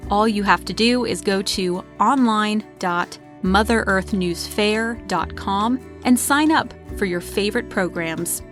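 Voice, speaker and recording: Lady announcer doing a scripted promo, mid pitch voice, music in the background. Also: measured pace, hardly any pauses, a bit bright.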